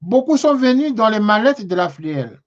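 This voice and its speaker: Halting call-in host. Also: medium pitch, very animated. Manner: halting, repeats words, stretches key phrases. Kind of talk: reading and answering listener messages. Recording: slightly dull lo-fi sound.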